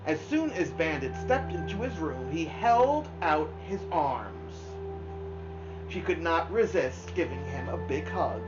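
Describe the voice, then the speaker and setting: Female storybook narrator, highly expressive, pauses for drama, slightly muffled recording with clear music underneath. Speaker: storybook reader. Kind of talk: expressive read-aloud of a children's novel. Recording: dull lo-fi sound, music behind.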